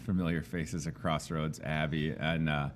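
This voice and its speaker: A man hosting a local talk show, loud and enthusiastic, speaking in an emphatic, animated way. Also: a bass voice, normal speed, a little hiss or hum. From Midwestern United States. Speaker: host. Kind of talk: casual interview chat.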